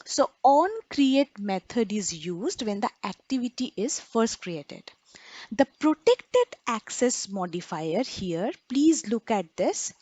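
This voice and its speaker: A woman's voice: a little high, lively intonation, slow pace, darkish tone, no echo. A woman instructor giving an explanatory lecture in an emphatic, didactic way, often posing questions and answering them herself. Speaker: programming instructor.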